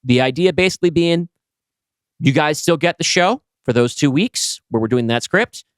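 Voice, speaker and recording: A man's voice: a little high, normal speed, smooth, no echo. A man co-hosting a podcast in casual conversation, speaking in an emphatic, enthusiastic way. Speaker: podcast co-host and writer.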